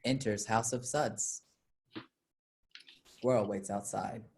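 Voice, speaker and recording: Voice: mid-range voice, fairly even intonation, takes it slowly, a little mellow. A narrator reading out scripted stage directions for a staged play reading.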